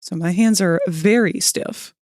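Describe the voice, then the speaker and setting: A woman's voice: fairly low pitch, a little slow, a little mellow, no room sound, studio quality. A woman streamer chatting casually with her viewers while sketching, her voice a little scratchy, greeting people by name and laughing often. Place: cold room at home.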